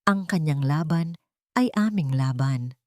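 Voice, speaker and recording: A woman's voice: sits low, expressive, unhurried, a little mellow, no room sound, studio quality. A woman voicing a two-faced society wife, a gracious charity hostess with a sweet, performative manner, in an audio drama. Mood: sweetly grateful. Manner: sweet, grateful, performatively tender.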